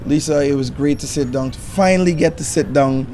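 A young man interviewing a guest, asking questions and encouraging her with steady backchannels. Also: a little high, brisk, a little hiss or hum. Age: young adult. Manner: encouraging, with many "mm-hmm" backchannels. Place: outdoor terrace by a balustrade. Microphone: handheld mic.

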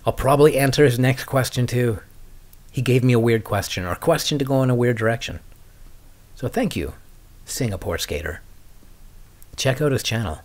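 A man's voice: mid-range voice, lively intonation, moderate pace, pauses often, a little mellow. Wry, casual man chatting. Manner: wry, dry humour. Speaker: skating podcast host. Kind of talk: casual rambling chat, answering viewer questions.

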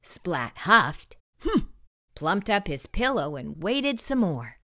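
A woman reading a children's storybook aloud expressively, with deliberate, dramatic pauses. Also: on the low side, very animated, fairly bright tone. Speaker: storytime host and narrator.

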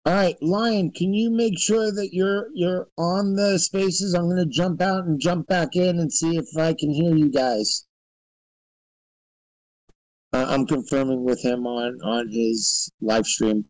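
A man's voice: fairly high pitch, steady tone, measured pace, darkish tone, no room sound, poor audio. An American man from New York chatting casually in a live audio chat, blunt and given to swearing. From New York, United States.

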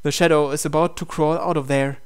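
A man's voice: a little high, little pitch movement, a little fast, slight background noise. A man reading a visual novel aloud as dramatic first-person narration, with an earnest, intense and theatrical delivery. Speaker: let's-play reader of a visual novel.

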